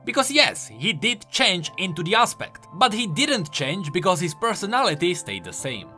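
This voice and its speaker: Man, high-pitched bright voice, lively swooping intonation, emphatic game-lore commentary, music underneath. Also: normal speed. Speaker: video creator explaining game lore. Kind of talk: enthusiastic explanatory video commentary.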